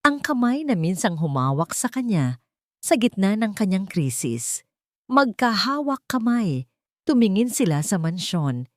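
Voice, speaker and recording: A woman's voice: medium pitch, no echo, studio quality. A woman narrating a scripted, dramatic love story.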